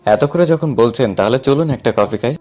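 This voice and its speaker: A flustered young man played by a male actor in an audio drama. He is cheeky yet timid, the younger brother of the family, speaking at a middling pitch over background music. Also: expressive, moderate pace, a little mellow, close to an everyday voice. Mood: uneasy. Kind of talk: acted audio story dialogue.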